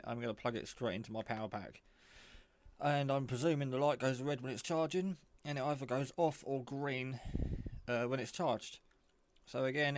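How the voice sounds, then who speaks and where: Guy doing a casual gadget show and tell, rambles a bit, normal pitch, dry sound. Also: dry recording. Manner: rambling, informal. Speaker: radio hobbyist showing a handset.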